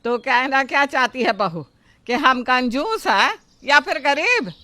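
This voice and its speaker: A woman plays an old mother-in-law in a storybook drama. She nags and grumbles in a high, slow voice, recorded very dry. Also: animated, sharp tone, dry recording, exaggerated voice. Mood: nagging. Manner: nagging, drawn-out complaining.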